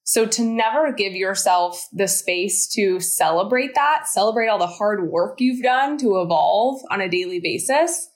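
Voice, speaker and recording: A woman's voice: mid-range voice, lively intonation, measured pace, few pauses. A woman in her thirties, a studio founder and guest on an interview show, explaining her ideas in an earnest, encouraging way. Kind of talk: interview conversation.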